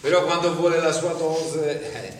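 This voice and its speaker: A man's voice: fairly high pitch, fairly even intonation, measured pace, over a noisy crowd, close to an everyday voice. A man playing a flustered, evasive male worker. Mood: defensive. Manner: placating, flustered, keeps steering back to work. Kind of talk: stage play acting. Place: theatre stage. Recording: echoey stage from far off, audience.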